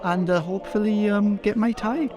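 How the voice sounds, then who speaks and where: High-voiced man, heard from a distance. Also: fairly even intonation, normal speed, a crowd in the background. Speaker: choir auditionee.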